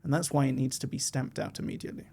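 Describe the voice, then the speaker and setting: A man, a diplomat speaking as a guest in a podcast interview, measured and lifting his voice when he quotes others. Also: medium pitch, fairly even intonation, gravelly, dark tone, breathy edge. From Israel. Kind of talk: conversational interview.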